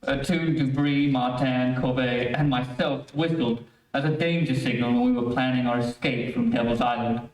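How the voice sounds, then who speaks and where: A man's voice: medium pitch, steady tone, normal speed, hardly any pauses, lightly acted. Man as earnest surgeon, distant and roomy. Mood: earnest, pleading. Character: worried, earnest male surgeon under death threat. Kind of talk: 1930s radio drama acting. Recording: roomy, distant-miked stage recording.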